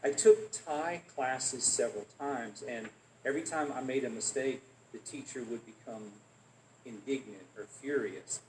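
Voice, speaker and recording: A man's voice: medium pitch, measured pace. Man telling stories in an echoey room. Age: adult. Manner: relaxed anecdotal storytelling. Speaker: guest speaker. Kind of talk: conversational Q&A. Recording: echoey room, faint noise.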